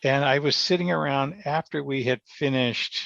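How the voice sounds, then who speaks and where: Gravelly man, muffled roomy recording. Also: mid-range voice, moderate pace, fairly bright tone. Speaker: guest, radio theatre director. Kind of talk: casual interview storytelling. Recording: dull, muffled lo-fi roomy sound.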